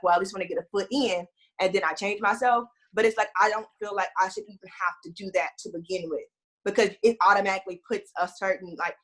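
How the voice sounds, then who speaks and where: A woman's voice: medium pitch, a bit bright, rough recording. A woman in her late twenties with a Texas accent, talking candidly and firmly in casual conversation. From Houston, Texas.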